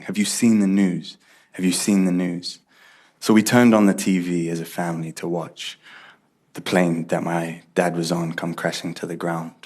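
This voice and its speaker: A man, giving an earnest, reflective personal faith testimony. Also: low-pitched. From Ethiopia and UK. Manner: reflective, earnest, builds in intensity. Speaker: testimony speaker.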